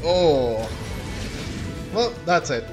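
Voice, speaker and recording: A man's voice: a little high, big pitch swings, drawn-out words, music in the background. A man giving casual playthrough commentary as a gaming streamer, reacting aloud in an informal way.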